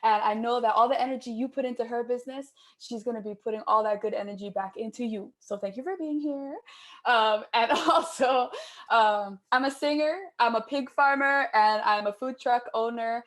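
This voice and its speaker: A woman from Hawaii talks in a slightly high voice. She is bubbly and chatty and quick to laugh as she talks with a friend. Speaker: guest, singer and farmer. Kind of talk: casual chat between friends.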